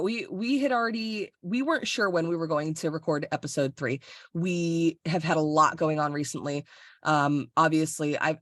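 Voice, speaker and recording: Woman podcast cohost from florida, kinda low voice, swears a lot, laughs, dry video call audio. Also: dry recording. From Florida, USA. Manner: animated, blunt, swears freely, laughs often. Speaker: podcast co-host. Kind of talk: casual chat. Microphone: recorded over a video call.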